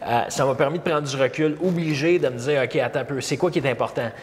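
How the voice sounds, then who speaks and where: A man in his thirties from Montreal with a Québécois accent, a podcast guest who tells his story in a halting way, with many fillers and repeats. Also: medium pitch. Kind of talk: casual interview storytelling. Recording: long reverb, faint background noise.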